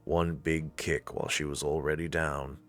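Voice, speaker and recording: A woman's voice: extremely low pitch, little pitch movement, normal speed, soft background music. A woman narrating a visual novel in a scripted storytelling style.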